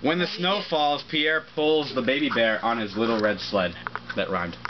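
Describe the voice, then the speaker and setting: A man's voice: mid-range voice, normal speed. Man reading aloud, muffled and noisy. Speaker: reader of a children's picture book. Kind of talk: reading a storybook aloud with joking asides to friends. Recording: muffled amateur recording with loud background noise. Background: friends talking and laughing over noise.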